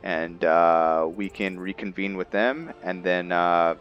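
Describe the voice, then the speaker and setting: A man giving casual commentary on his roleplay game, thinking aloud in a halting way. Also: low voice, highs cut off. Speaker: gamer narrating a roleplay playthrough.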